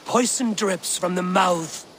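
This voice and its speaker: Higher-pitched bold Viking raider, noisy ambience. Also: male voice, slow, a bit bright. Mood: dramatic storytelling. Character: bold Norse Viking raider, cunning and theatrical. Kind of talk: video game acting.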